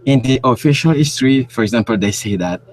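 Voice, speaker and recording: A man's voice: medium pitch, expressive, quick pace. A man from Cameroon, a guest researcher speaking on a remote call, who often stops to search for words. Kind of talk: interview on a call.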